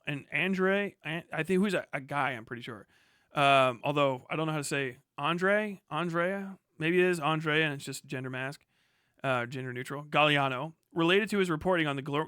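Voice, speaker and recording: A man's voice: on the high side, pushed and bright, clear, resonant tone, no echo, studio quality. A man livestreaming casual, opinionated commentary on local news, speaking in a blunt, profane and emphatic manner.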